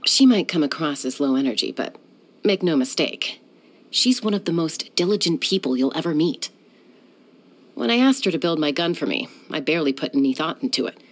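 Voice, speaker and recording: An actress voicing a cool, confident young woman who is a sky-hunter and peacemaker in a video game, speaking in a calm, composed, measured way. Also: low-pitched. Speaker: game character.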